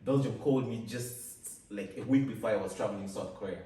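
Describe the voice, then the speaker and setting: A young man from Uganda, a guest telling a story in a casual chat, animated and full of quick repetitions. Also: lowish voice. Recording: echoey room, mic at a distance, faint ambience.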